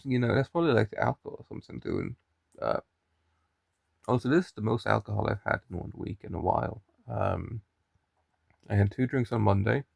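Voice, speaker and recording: Low gravelly young Irish man. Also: sing-song, a little fast, pauses often, a little mellow, no echo, clean studio sound. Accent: mild Irish. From Ireland. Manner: rambling, chatty, frequent restarts. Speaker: daily vlogger. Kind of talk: casual chat to camera.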